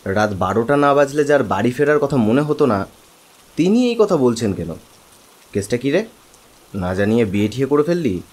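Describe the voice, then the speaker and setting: A man playing a teasing, impatient friend with a husky voice, bantering and prodding in an animated story. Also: medium pitch, normal speed, pauses often. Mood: teasing, curious. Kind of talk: dramatized animated horror story. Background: rain.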